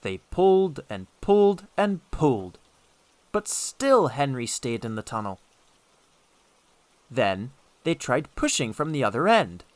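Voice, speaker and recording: Male children's audiobook narrator: deliberate, unhurried, highly varied intonation. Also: no room sound. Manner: expressive, deliberate storytelling. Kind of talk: scripted children's story narration.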